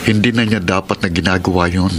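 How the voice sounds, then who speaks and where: A man's voice: deep voice, fairly even intonation, fast, fairly bright tone, a little hiss or hum. An actor playing a troubled young husband who suspects his wife, in a classic radio drama. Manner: earnest, troubled, confiding. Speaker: narrator of his own story.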